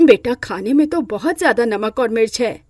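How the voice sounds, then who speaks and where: A woman's voice: fairly high pitch, lively intonation, normal speed, darkish tone, dry recording. Woman acting a motherly mother-in-law, dry sound. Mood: affectionate. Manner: affectionate, motherly. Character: kindly middle-aged mother-in-law, appreciative and forgiving. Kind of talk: Hindi moral-story character acting.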